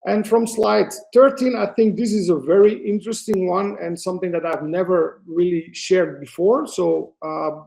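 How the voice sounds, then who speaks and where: A man's voice: sits high, creaky, a little mellow, rough recording. A middle-aged Belgian man whose first language is Dutch, presenting a photography webinar in English over a video call, with frequent fillers and self-corrections. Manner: hesitant, frequent fillers and restarts, non-native phrasing. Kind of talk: presentation to an online audience.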